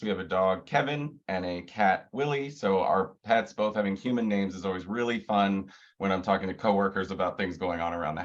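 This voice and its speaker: Man, congested, low voice, laughs often, muffled video call audio. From Cincinnati, Ohio. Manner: laughs often, self-deprecating. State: slightly congested with a cough. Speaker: co-host of user group session. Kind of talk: casual video call presentation.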